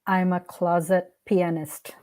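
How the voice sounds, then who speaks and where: A woman with a fairly low, soft-edged and mellow voice speaks as an interview guest. Her native language is Hindi. She answers conversationally, in a reflective and earnest manner. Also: little pitch movement, takes it slowly. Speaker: podcast guest, art curator and founder.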